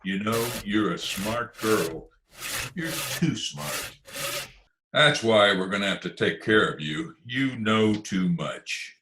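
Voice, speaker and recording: A man's voice: lowish voice, expressive, slow pace, pushed and bright, full character voice. A man playing a tough, curt gangster boss in a 1940s-style radio crime comedy, sneering, slangy and commanding. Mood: cold menace. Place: remote video-call performance.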